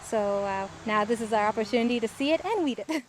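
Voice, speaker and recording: Woman chatting about volunteers, higher voice, upbeat and talky. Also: expressive, fast talker, a little hiss or hum, poor audio. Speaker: volunteer organizer. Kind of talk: interview.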